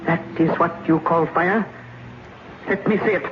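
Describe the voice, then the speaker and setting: An actor playing a cold alien invader posing as a man, speaking formally and deliberately in an old radio drama. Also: fairly high pitch, fairly even intonation, close to an everyday voice. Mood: curious. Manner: formal, deliberate speech without contractions. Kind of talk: 1950s radio drama acting. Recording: noisy, muffled old radio recording.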